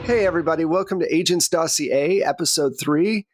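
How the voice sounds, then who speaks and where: A man's voice: a little high, a little fast, faint music behind. A man working as game master, narrating a tabletop game in an informal, conversational way. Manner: informal, chatty.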